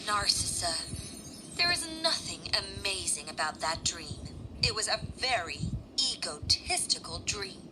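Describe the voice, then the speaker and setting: A woman voicing a worried, sensible mother in a children's fairy tale, expressive and a little exasperated. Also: lowish voice, a little slow, over music, rough recording. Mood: scolding. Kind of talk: children's animated storybook acting.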